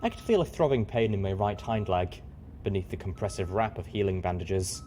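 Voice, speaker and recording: A man's voice: deep voice, animated, a little fast, warm tone, a little hiss or hum. A man reading scripted first-person fiction as the narrator of an audio story.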